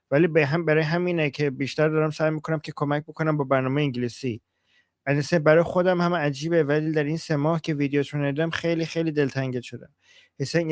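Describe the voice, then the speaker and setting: A man's voice: on the high side, no echo. A man hosting a live stream, chatting casually as he reads out and answers viewer messages, often repeating phrases for emphasis. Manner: switches between English and Persian, translating aloud.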